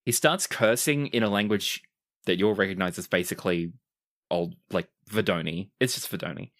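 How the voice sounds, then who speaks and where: A man's voice: sits low, moderate pace, a bit bright. A man improvising narration as the game master of a tabletop roleplaying podcast.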